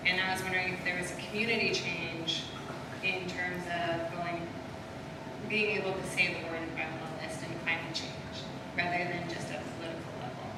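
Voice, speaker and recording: Loud young woman asking from a hall. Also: lowish voice, flat delivery, fairly quick. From Kansas City, USA. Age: young adult. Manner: loud, earnest questioning. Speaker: audience member asking a question. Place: large hall at a public event. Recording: echoey hall from far off, noisy.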